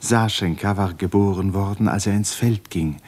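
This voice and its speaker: Deep, husky male narrator. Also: moderate pace, darkish tone. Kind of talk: scripted literary narration for radio drama.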